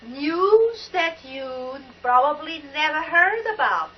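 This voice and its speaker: A woman's voice: high-pitched, sing-song, lo-fi sound. An older woman from Portugal with a Portuguese accent, hosting a spiritual talk in an emphatic, exclamatory way. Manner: emphatic and exclamatory, drawn-out words. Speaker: spiritual talk show host.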